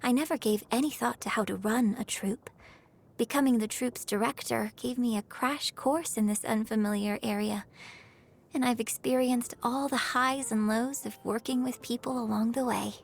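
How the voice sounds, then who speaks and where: An actress playing a refined, gracious young opera star and troupe director in a video game, speaking in a formal, theatrical manner. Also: female voice, a little high, fairly even intonation, moderate pace, gravelly, slightly rough. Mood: thoughtful, earnest. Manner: genteel, theatrical, formal wording. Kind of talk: video game character voice lines.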